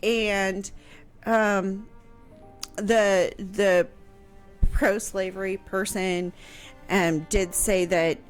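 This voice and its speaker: A woman's voice: mid-range voice, steady tone, drawn-out words, pauses often, soft background music. A woman explaining history in casual conversation on a radio show, piecing her sentences together hesitantly. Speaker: history show host answering questions.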